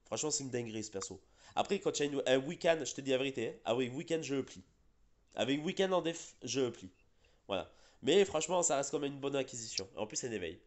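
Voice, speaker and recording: A man's voice: mid-range voice, lively intonation, fast. A man hosting casual gaming chat, a bit congested from being sick, rambling with fillers and restarts. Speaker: gaming channel host.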